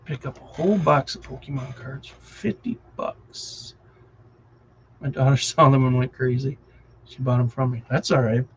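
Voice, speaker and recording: A man's voice: mid-range voice, fairly even intonation, moderate pace. Middle-aged man, Wisconsin accent, rambling live-stream chat, dull muffled audio, faint background hum. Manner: rambling, easily distracted, chuckling.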